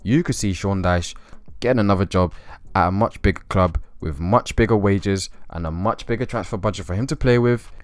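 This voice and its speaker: Low-voiced young man ranking football managers. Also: fairly quick, no echo. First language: English. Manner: opinionated, chatty asides. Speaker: football video host. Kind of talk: scripted countdown commentary.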